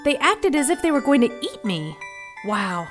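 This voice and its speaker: Casting: excitable, fearful young heroine, high swooping voice, radio drama, music behind. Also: female voice, moderate pace. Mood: uneasy. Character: frightened, excitable young woman in 1940s mystery. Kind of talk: dramatic radio narration and acting.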